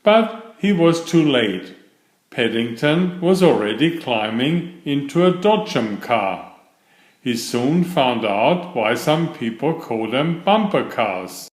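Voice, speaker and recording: A man's voice: mid-range voice, expressive, takes it slowly. A man reading a children's storybook aloud as a narrator. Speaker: children's story reader and author. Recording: roomy, echoing sound.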